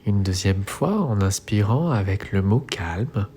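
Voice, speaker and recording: A man leading a guided relaxation meditation as an instructor, speaking in soothing, measured phrases. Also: fairly low pitch, lively intonation. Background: soft music.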